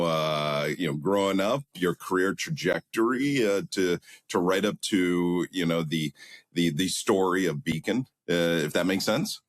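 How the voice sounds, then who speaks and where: A man hosting a podcast interview, friendly and halting, leaning heavily on filler phrases. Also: low-pitched. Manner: friendly, halting, heavy on "you know". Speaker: podcast host.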